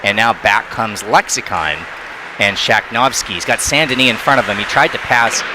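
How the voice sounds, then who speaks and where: Brief: male play-by-play hockey announcer, quick, noisy arena broadcast. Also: fairly low pitch, crowd noise. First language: not Thai. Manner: rapid, excitable calls on big plays. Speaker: play-by-play commentator.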